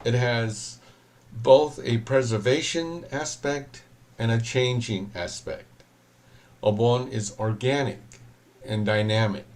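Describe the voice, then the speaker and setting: A man, a reverend, giving a reflective, measured talk at an online panel, pausing often. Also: mid-range voice, a little mellow. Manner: measured, reflective, with pauses and fillers.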